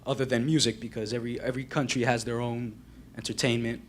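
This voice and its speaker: A young man stands in the audience and puts a question to the speaker. He speaks at a mid pitch and stays polite and precise, restating his point when asked. A faint hum of room noise sits behind him. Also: level tone, fast. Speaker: audience member asking a question.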